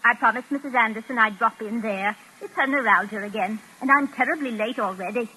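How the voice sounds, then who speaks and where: An actress playing a brisk, proper, anxious Englishwoman in a 1940s radio thriller, earnest and prim. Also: on the high side, bright, forceful tone. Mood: brisk, polite. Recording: noisy vintage radio broadcast recording.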